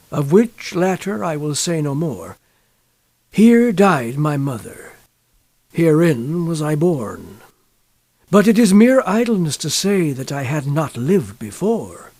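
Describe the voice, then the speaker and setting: Man reading as a brooding gothic narrator, richly varied pitch. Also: darkish tone, husky, no room sound, heavily acted. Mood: grave, proud. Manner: solemn, measured phrasing with deliberate pauses. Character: gloomy, brooding male narrator from an old noble family. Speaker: audiobook reader. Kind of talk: dramatic literary narration of a gothic tale.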